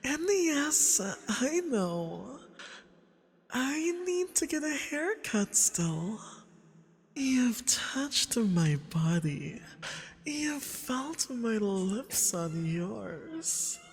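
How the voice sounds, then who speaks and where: Seductive femboy vampire acted by a man, possessive, very slow, breathy coaxing whispers, swooping intonation, ASMR roleplay, long reverb. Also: very high voice, big pitch swings, full character voice. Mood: teasing, seductive. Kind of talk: intimate ASMR roleplay acting. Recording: long reverberant hall echo.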